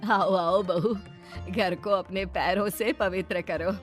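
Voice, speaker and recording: A woman voicing a middle-aged mother-in-law in an animated story, welcoming yet firm, instructive and insistent. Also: medium pitch, takes it slowly, faint music behind, close to an everyday voice.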